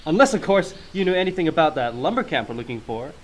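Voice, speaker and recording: Comic sidekick cop, a male actor: high, very animated, polite, silly, full of "eh". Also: fast talker, slight background noise. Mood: chipper, polite. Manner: tags sentences with "eh". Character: silly, excitable, polite Canadian policeman sidekick. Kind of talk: comic radio play acting.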